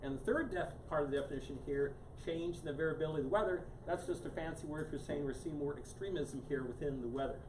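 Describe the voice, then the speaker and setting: A man's voice: a little high, fast talker, few pauses. A man giving a casual live lecture to a small audience, restarting his sentences and tacking "here" onto almost every phrase. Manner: casual, repeats "here" constantly, many restarts. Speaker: guest speaker on climate science. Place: meeting room with a live audience. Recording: roomy, distant mic with background noise.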